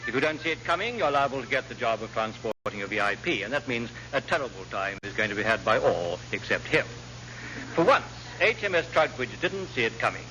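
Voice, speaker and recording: A man's voice: medium pitch, sharp tone. A British man giving wry scripted narration as the narrator of a classic radio comedy. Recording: dull, noisy old radio recording.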